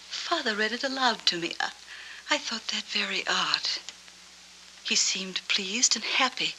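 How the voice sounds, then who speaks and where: An actress playing a lonely, wistful woman with a hazy memory in a 1940s film noir, speaking in halting, dreamy, hushed phrases. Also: medium pitch, slight background noise, low-quality recording. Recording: old 1940s film soundtrack, music behind.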